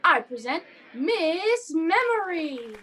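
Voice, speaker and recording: A woman's voice: very high-pitched, big pitch swings, slow pace, sharp tone, slight background noise, full character voice. A woman playing a music-hall master of ceremonies in a radio play, addressing the crowd with grand, declamatory patter. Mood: grand, proud.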